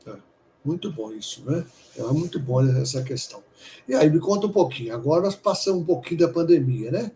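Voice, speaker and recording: A man's voice: a little high, expressive, brisk, dark tone, a little hiss or hum. A man hosting a casual interview, prompting his guest with short friendly asides. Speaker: interview host.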